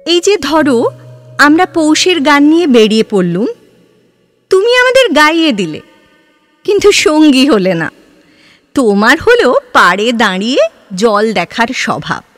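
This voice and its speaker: Actress doing a romantic curious young woman in an audio play, high voice, unhurried, playful. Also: big pitch swings, no echo, studio quality, soft background music. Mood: lyrical, tender. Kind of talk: Bengali audio drama dialogue.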